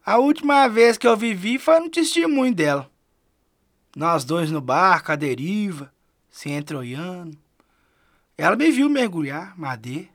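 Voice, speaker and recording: A man's voice: sits high, lively intonation, unhurried, no room sound, studio quality. A man acting in a Brazilian radio drama as a wistful, folksy middle-aged man lost to the river, with a Brazilian accent. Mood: mournful.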